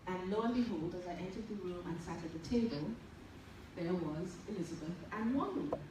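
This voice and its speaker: A woman's voice: lowish voice, animated, fairly quick. Trinidadian woman speaking in an echoey hall. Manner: warm, enthusiastic and admiring. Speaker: nurse giving a tribute speech. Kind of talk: public tribute speech. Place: large event hall. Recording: echoey hall, distant, noisy.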